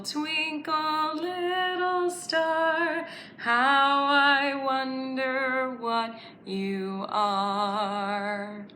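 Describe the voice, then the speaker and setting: A woman leads a preschool story time in a bright, high-leaning voice with some room echo around it. She enunciates carefully and encourages children to follow along, in the playful manner of an early-years lesson with songs and rhymes. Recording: roomy echo, faint background noise.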